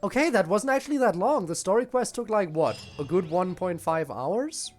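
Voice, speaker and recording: A man's voice: sits high, animated, normal speed, soft background music. A man giving casual, wry, informal live commentary as a game streamer.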